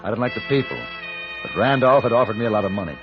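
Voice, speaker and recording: An American actor playing a suave, self-assured hired gunfighter in an old-time radio Western, dry, urbane and terse. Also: male voice, medium pitch, expressive, racing, over music, lightly acted. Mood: grim narrating. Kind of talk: old-time radio drama acting and first-person narration. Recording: dull, band-limited old radio recording.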